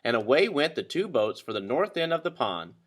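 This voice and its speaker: A man reading a children's story aloud with expressive, dramatic narration. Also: medium pitch, fairly quick. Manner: dramatic, animated. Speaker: storybook reader.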